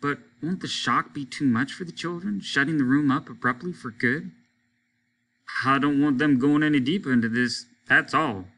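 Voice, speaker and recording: A man's voice: a little high, moderate pace, pushed and bright, rough recording. A man voicing a stern, fed-up middle-aged father who speaks in a firm, exasperated and decisive way. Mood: worried.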